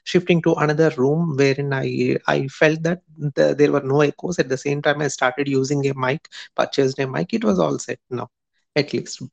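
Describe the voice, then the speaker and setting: A man answering interview questions about his podcast, earnest and halting, with many pauses and fillers. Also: a little high, steady tone, creaky. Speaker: podcast guest. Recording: dull lo-fi sound.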